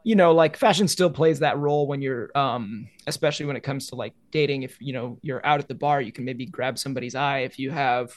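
A man's voice: mid-range voice, a little fast, few pauses, no room sound. A young man chatting casually as a guest, rambling with many "like"s. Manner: rambling, heavy use of "like". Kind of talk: casual conversation.

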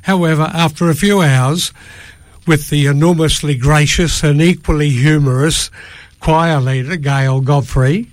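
An older man speaks as a studio guest, delivering a prepared statement with formal, careful wording. His pace is very slow and his voice is a little high for a man. The sound is close and dry. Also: steady tone, no room sound. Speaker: choir member, interview guest. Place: radio studio. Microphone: studio microphone.

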